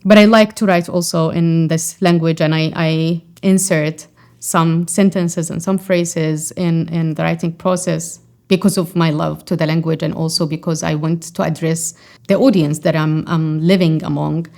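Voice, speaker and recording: Woman w arabic accent, 40s, kinda low voice, thinks out loud w lots of ums and restarts. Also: steady tone, moderate pace, vocal fry. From Yemen. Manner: thoughtful, with frequent fillers and restarts. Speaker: poet and scholar. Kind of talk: reflective interview answers.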